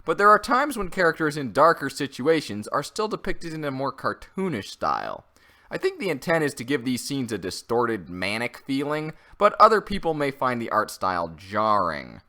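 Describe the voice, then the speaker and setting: A man's voice: mid-range voice, pushed and bright. A man narrating a scripted review in an opinionated, conversational way. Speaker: comic and manga reviewer.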